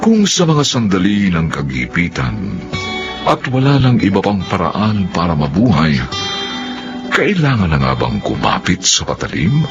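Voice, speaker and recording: A man's voice: sits low, wildly swooping pitch, measured pace, muffled recording, over music. A Filipino man introducing a radio drama in dramatic scripted narration.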